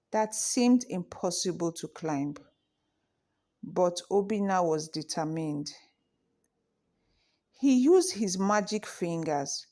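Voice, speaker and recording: A woman narrating a scripted children's folktale with measured, deliberate phrasing. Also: fairly low pitch, dark tone. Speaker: storyteller.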